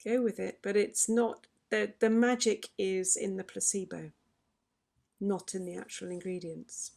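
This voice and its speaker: Woman vocal expert answering questions, bit of vocal fry, chatty. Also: mid-range voice, fairly even intonation, a little slow. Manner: chatty, explanatory, warm advice-giving. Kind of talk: online forum Q&A.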